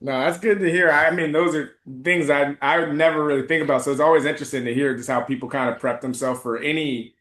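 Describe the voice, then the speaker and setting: A man's voice: a little high, fairly even intonation, fast talker, hardly any pauses, a bit bright, echoey room. A man hosting a podcast, asking long, easygoing, rambling questions in casual conversation. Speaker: podcast host.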